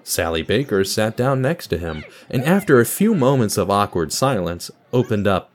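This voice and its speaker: A man's voice: lowish voice, big pitch swings, normal speed. A man giving scripted third-person narration for an audio drama.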